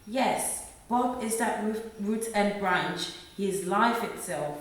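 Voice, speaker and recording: A woman playing an earnest, loving young mother in a radio play, speaking in a measured way. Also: mid-range voice, natural voice. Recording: very echoey room, far from mic.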